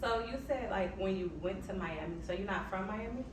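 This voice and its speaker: A young woman hosts a casual interview, answering with brief upbeat reactions between questions. Her voice sits at a middling pitch and is heard from a distance in an echoey, noisy room. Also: brisk. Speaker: interview host.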